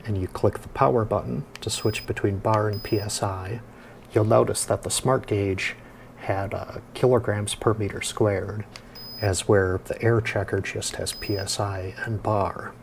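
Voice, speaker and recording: A man with a lowish, creaky voice talks through a casual product demonstration. His tone is mellow and unhurried as he explains each step. There is faint noise behind him. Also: steady tone. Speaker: product reviewer and host. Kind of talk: casual hands-on product review.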